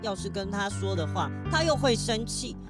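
A woman's voice: medium pitch, expressive, normal speed, loud music behind the voice, close to an everyday voice. A woman playing a glum, innocent young child of a rich boss.

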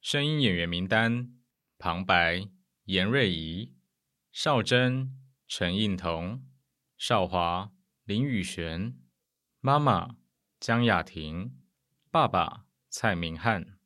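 A man's voice: low voice, animated, slow pace, pushed and bright. A man reading out a scripted cast announcement.

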